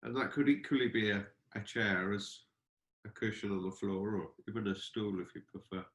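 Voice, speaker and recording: A man with a fairly low voice guides a meditation in a roomy, echoing space. He speaks as a teacher, gently and without hurry. His phrases are exploratory, with pauses between them.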